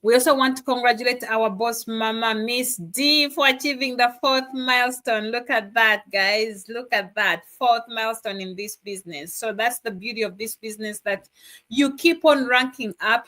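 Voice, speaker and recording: A woman's voice: a little high, moderate pace, sharp tone. A woman from Uganda co-hosting a live stream over a video call, enthusiastic and celebratory as she congratulates members. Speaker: co-host, digital business mentor. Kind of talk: upbeat live-stream chat.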